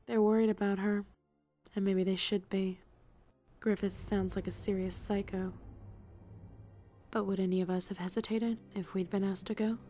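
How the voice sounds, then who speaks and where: Actress as resolute young female fighter, wry, warm dark timbre, medium pitch, audiobook monologue, background music. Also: flat delivery, moderate pace, long pauses, natural voice. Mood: wry, concerned. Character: Jill, tough, wry, resolute young female team member. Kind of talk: audiobook inner monologue and dialogue. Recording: music behind the voice.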